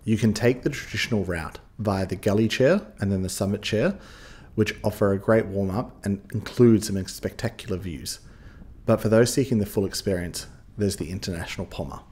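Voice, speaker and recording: A man's voice: sits low, darkish tone. A man giving upbeat scripted voice-over narration, guiding listeners step by step like a ski guide.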